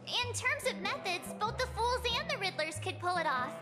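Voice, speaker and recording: A woman playing an excitable, girlish teenage companion in a video game, chatty and animated. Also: very high voice, moderate pace. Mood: doubtful, chirpy. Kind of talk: scripted game dialogue. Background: game soundtrack music.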